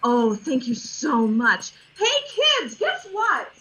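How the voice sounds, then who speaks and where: A woman acting as an eager children's minder in an audio drama, pleading and imploring. Her voice is high and husky, swooping with animation. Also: sing-song, takes it slowly, pushed and bright, heavily acted, poor audio. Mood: grateful, excited.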